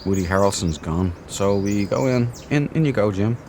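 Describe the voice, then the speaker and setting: Low-voiced man doing casual game commentary. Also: animated, moderate pace, a little mellow, slight background noise. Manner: jokey, cheeky, thinking aloud. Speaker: let's play host.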